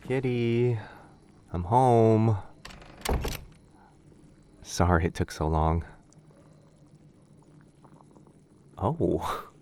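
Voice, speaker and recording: Gentle wizard boyfriend, male performer, deep dark-soft tone, tender near-whisper delivery for ASMR roleplay, faint background noise. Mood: pleasantly surprised. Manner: tender, hesitant, coaxing.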